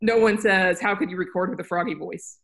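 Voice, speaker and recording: A woman's voice: on the low side, steady tone, fairly quick, creaky. A woman producing online courses, talking in a casual, rambling and upbeat way on a video call. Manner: rambling, upbeat, self-correcting.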